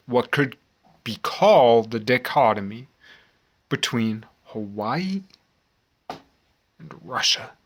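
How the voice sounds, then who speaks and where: American man, forties, mid-pitched voice. Rambling vlog monologue, slow and halting, musing, circles back on phrases. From United States. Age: 40s. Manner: halting, musing, repeats phrases with long pauses. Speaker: vlogger.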